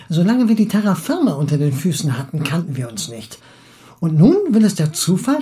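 An excitable, garrulous Russian émigré husband, acted by a man for an audiobook. His mellow, soft-edged voice swings widely in pitch. He philosophizes eagerly and sometimes stalls as he hunts for a word. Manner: garrulous, halting when searching for words.

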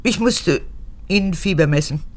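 An actress playing an old, manipulative and clingy mother, an unrepentant former camp guard, in a gravelly voice for a radio drama. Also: moderate pace, darkish tone, a little hiss or hum, lightly acted. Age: older. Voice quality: creaky, gravelly. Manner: erratic, swinging from whispers to shrill outbursts.

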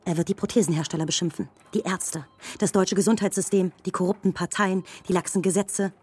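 Cold strategist, husky, mellow-dark timbre, clinical, scornful, methodical, radio drama. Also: female voice, fairly low pitch, fairly even intonation, a little fast. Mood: scornful, building. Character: cold calculating female campaign strategist.

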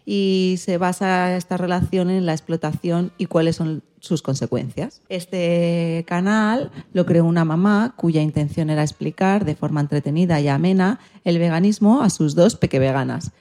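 A woman's voice: lowish voice, normal speed, hardly any pauses, warm tone. A woman presenting a magazine show, partly reading from a script.

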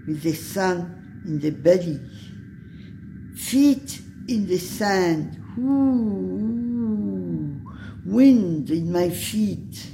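A woman performing a solemn, dreamlike poet-visionary in a radio piece, reciting very deliberately in a dark, creaky voice with pauses between lines. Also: fairly low pitch, a little hiss or hum, heavily acted, rough recording. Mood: visionary, calm. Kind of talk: poetry recitation.

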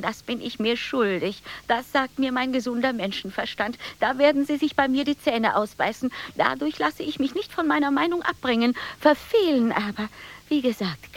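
An actress playing an indignant, stubbornly sensible dreamer in a radio drama, articulate and wordy in her exasperation. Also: fairly high pitch.

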